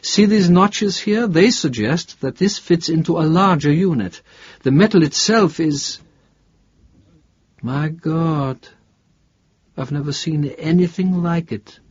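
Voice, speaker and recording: A man voicing an older, guarded male chemistry professor in an audiobook, with a halting, deliberate, emphatic delivery. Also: fairly high pitch, lively intonation, highs cut off, pitched above the performer's own voice. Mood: intrigued. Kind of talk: audiobook character dialogue.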